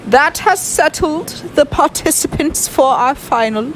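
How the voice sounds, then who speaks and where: An actress in an amateur radio drama playing a debate judge, formal and measured as she announces. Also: high voice, animated, slight background noise, poor audio. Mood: formal announcing.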